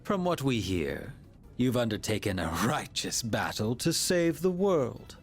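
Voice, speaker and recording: An actor voicing an eerily polite, menacing villain in a video game, theatrical and drawn-out. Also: male voice, medium pitch, sing-song, faint music behind. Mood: calm menace.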